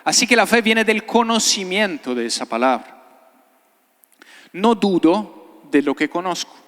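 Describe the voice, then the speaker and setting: His voice sits high for a man and rings through a long hall reverb. He is a pastor, delivering a sermon in a conversational way. He repeats key phrases and keeps putting short questions to his listeners. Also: animated, unhurried, fairly bright tone. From Italy. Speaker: pastor, guest preacher. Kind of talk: sermon to a live congregation. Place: large church hall before a congregation. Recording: reverberant hall, amplified preaching.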